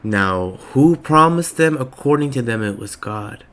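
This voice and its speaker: A man with a slightly raspy voice giving a slow, reflective spiritual monologue with many pauses. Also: medium pitch, expressive, fairly bright tone. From Palestinian heritage. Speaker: spiritual reader.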